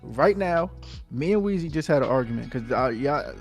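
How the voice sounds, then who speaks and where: A man's voice: medium pitch, lively intonation, normal speed, soft background music. A man with a New Jersey accent co-hosting a casual podcast, talking insistently and repeating himself to make his point. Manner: insistent, repeats "I'm telling you, bro". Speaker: podcast co-host.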